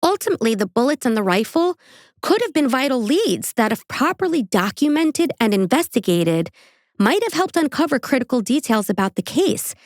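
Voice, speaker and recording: A woman's voice: a little high, a little mellow, no echo, clean studio sound. A woman with a New York accent hosting a true crime podcast, reading the case and breaking off for casual, joking asides.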